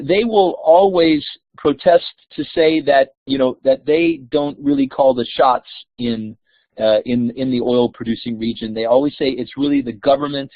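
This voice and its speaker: Scholar guy explaining stuff, raspy, sounds like a phone call. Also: mid-range voice, no room sound. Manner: hesitant, frequent "um" and "uh". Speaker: scholar guest. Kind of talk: explaining in an online discussion. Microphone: online call audio, video off. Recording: dry, tinny phone-like line.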